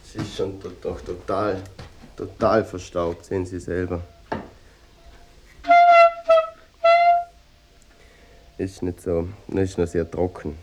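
An actor playing an earnest, obsessive amateur clarinetist in a mock-documentary radio play, rambling and interrupting himself in a Vorarlberg Austrian accent. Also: male voice, deep voice, little pitch movement, heavy background noise. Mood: modest, hesitant. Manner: rambling, halting, self-interrupting, fussy. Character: earnest, obsessive amateur clarinetist hunting a vanished brass band.

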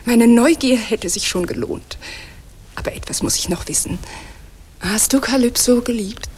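A woman's voice: fairly high pitch, normal speed, darkish tone, husky. A woman playing a clever, curious goddess in a 1940s radio play, speaking in an arch, teasing, confidential way. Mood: amused, curious.

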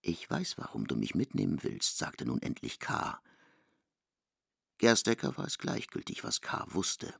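A male narrator tells a literary story in a deep, creaky voice. His delivery is dramatic radio-play narration with lively pitch movement and a dry sound. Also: unhurried, dry recording.

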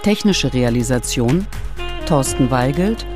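A woman announces radio drama credits at a very slow, deliberate pace. Music plays clearly behind her scripted reading. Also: a bass voice, animated.

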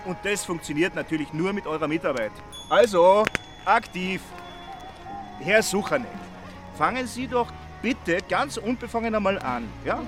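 A man's voice: high voice, expressive, normal speed, music in the background. An actor playing an earnest male group-session leader in a radio drama, didactic and encouraging. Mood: earnest, coaxing.

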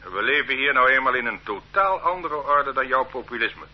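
An actor playing a stern, condescending police officer who lectures a detainee in an authoritarian way in a radio drama. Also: male voice, medium pitch, animated, moderate pace, slightly rough. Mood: lecturing, condescending. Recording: muffled old radio play recording.

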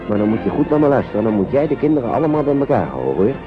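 An actor in a radio play as an anxious, kindly uncle minding refugee children, careful and hesitant. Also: male voice, mid-range voice, big pitch swings, rapid-fire, close to an everyday voice. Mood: reassuring, urgent. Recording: dull old radio play, music behind.

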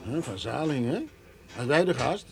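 An actor playing a stern, suspicious middle-aged farmer father in a radio drama, gruff and disapproving. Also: medium pitch, expressive, normal speed.

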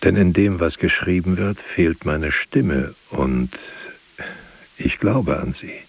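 An actor playing a grave, brooding writer, speaking solemnly in halting, deliberate phrases in a poetic radio play. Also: male voice, deep voice, creaky, breathy edge, heavily acted. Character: grave, brooding writer musing on words and silence. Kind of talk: poetic radio drama monologue.

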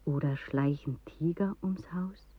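An actress playing a dreamy woman in a poetic radio drama, reciting in a slow, hushed manner. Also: deep voice, slight background noise. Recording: dry, narrow phone-like radio recording.

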